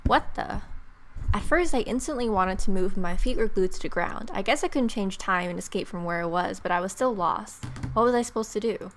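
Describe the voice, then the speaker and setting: A woman's voice: medium pitch, a little fast, a little hiss or hum. A woman hosting a let's play, reading game narration aloud dramatically with casual asides, music behind her. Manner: dramatic, expressive read-aloud.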